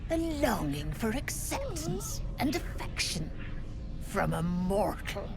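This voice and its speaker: An actress playing a cruel, coldly menacing cult priestess in a video game cutscene, taunting in a theatrical, drawn-out way. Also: female voice, medium pitch, wildly swooping pitch, vocal fry, exaggerated voice. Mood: gloating. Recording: loud music under the voice.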